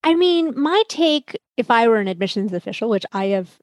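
A woman on a panel discussion, thinking aloud in a measured way with frequent hedges. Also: a little high, very animated, dry recording.

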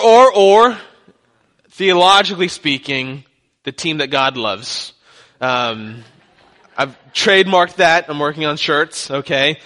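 A man's voice: a little high, pushed and bright. A young man from Texas with a light Texan accent, preaching a sermon as a guest pastor in a joking, conversational way. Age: young adult.

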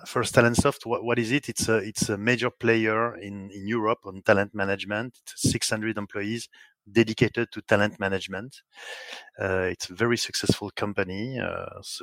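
Brief: French-accented male guest, low-pitched, halting delivery, dry close mic. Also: a bit bright, dry recording. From France. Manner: hesitant, many restarts and fillers. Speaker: guest, software company executive. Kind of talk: podcast interview answers.

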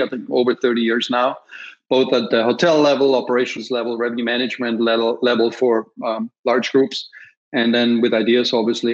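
A middle-aged man with an Austrian accent speaks in a measured, upbeat conversational way, often pausing on ums. His voice sits at an ordinary pitch. The audio is a little dull and lo-fi. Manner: measured, optimistic, with frequent ums. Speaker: podcast host and industry guest. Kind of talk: conversational interview answers.

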